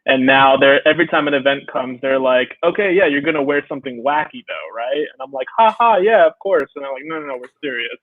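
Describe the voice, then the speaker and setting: A man's voice: medium pitch, fairly quick, hardly any pauses, no room sound. A young man from Brooklyn, chatting as a guest on a video call and laughing often as he tells stories. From Brooklyn, New York. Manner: laughs often, animated storytelling. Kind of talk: casual video-call interview. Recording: tinny phone-like dry sound.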